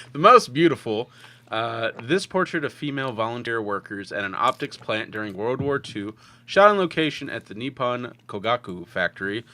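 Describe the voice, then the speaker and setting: A man's voice: mid-range voice, bright, forceful tone. A man co-hosting a film podcast in a casual, conversational style. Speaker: podcast co-host.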